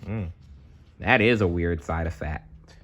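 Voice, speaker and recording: A man reading a children's storybook aloud in an expressive, playful way, with chatty asides. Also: low-pitched. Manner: animated, unhurried, playful.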